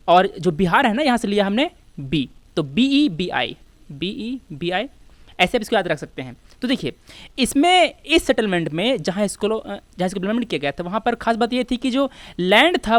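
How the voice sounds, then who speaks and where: A woman's voice: medium pitch, no room sound. A woman with an Indian accent lecturing as an exam-prep teacher, explaining step by step with rhetorical questions and repeating key phrases for emphasis. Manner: switches between Hindi and English.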